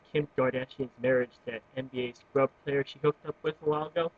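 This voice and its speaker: A man's voice: fairly high pitch, fairly bright tone, no echo. A young man talking earnestly into his webcam at home, gesturing as he goes and often stumbling over restarts. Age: young adult. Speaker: vlogger. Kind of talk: opinion rant to webcam. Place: small room at home with bookshelves, indoors. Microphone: webcam built-in mic. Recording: dull lo-fi webcam audio.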